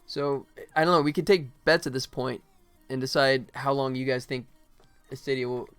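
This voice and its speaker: Casual livestream host, game developer, rambling, jokey, medium pitch, music playing behind the voice. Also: fairly even intonation, moderate pace, smooth. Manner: rambling, jokey, acts out mock quotes. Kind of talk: casual livestream chat while working.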